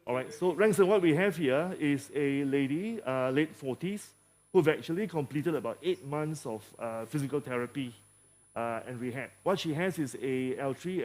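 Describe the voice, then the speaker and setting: A man with a Malaysian English accent, a surgeon presenting a case in halting speech with many fillers and jokey asides. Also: mid-range voice, a little mellow. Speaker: surgeon leading a live demonstration.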